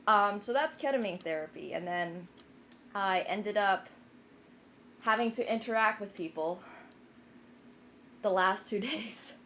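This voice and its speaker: A young woman talks casually to her stream viewers at an ordinary middle pitch. She rambles, restarts her sentences and fills gaps with "like" and "um". A faint ambient hum sits under the voice. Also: a little slow, long pauses, a bit bright. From Finland. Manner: rambling, many fillers and restarts, self-deprecating.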